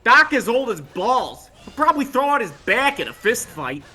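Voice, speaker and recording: A performer voicing a brash, cocky young male mercenary who argues and boasts in an excitable way, in a fan story reading. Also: medium pitch, moderate pace, slightly rough, exaggerated voice. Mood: dismissive. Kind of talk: amateur voice acting in a live fan story reading. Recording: music behind the voice.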